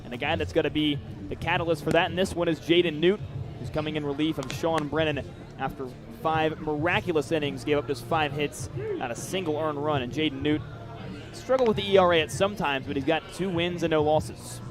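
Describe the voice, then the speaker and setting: A man's voice: on the high side, fairly even intonation, a little fast, music in the background. A young American man giving live radio play-by-play commentary of a baseball game. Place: outdoor baseball stadium broadcast. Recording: live outdoor ballpark crowd noise. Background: crowd and outdoor ballpark ambience.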